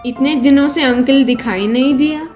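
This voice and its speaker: A woman voicing a sharp, suspicious little village boy in a comic story, inquisitive and insistent. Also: high voice, normal speed, soft background music, natural voice.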